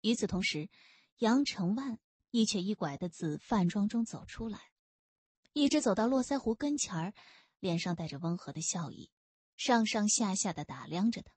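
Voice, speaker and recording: A woman reading an audiobook novel as scripted narration, with expressive delivery and a husky voice. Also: medium pitch, normal speed, no echo.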